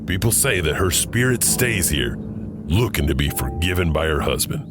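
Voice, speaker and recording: An actor playing a chatty, eerie hotel janitor who hosts horror tales, over a music bed. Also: male voice, deep voice, big pitch swings, normal speed. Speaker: host narrator. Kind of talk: audio drama narration.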